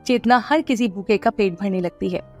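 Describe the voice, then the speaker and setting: A woman giving scripted narration of an animated moral story. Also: medium pitch, moderate pace, faint music behind.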